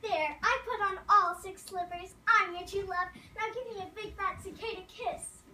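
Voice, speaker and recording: A woman's voice: very high-pitched, normal speed, bright, forceful tone, heavily acted. A woman playing a vain, bossy young ladybug stepsister in a readers theater show, haughty and scornful. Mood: smug. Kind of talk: readers theater stage performance. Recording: noisy, echoey hall from far off.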